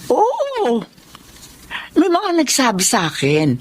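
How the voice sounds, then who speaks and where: A woman's voice: high-pitched, wildly swooping pitch, takes it slowly, exaggerated voice. An actress playing an ailing, reluctant elderly mother-in-law in a Filipino radio drama, hesitant and downplaying her trouble. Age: older.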